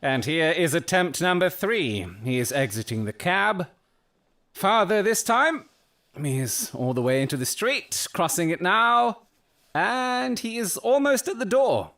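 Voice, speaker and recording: Casting: brilliant eccentric Victorian detective. Fairly high, very lively pitch, exact and assured delivery, radio-play style. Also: male voice, a little slow, exaggerated voice. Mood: excited. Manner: precise, clipped, self-assured deduction. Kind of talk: audio drama acting.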